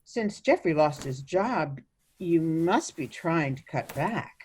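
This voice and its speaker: A woman acting in a radio play as a sweetly meddling, fussy old mother-in-law with a genteel, gently disapproving manner. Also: low voice, expressive, takes it slowly, a little hiss or hum. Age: older.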